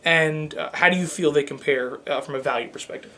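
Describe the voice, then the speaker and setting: A man's voice: a little high, brisk, bright, forceful tone. A man co-hosting a review show in a scripted but conversational, presenterly way. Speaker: show co-host.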